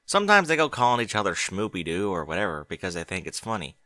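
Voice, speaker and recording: A man's voice: low voice, very animated, fast, bright, forceful tone, no room sound, clean studio sound, full character voice. A man voicing a friendly, down-to-earth country pony mare with an easygoing drawl.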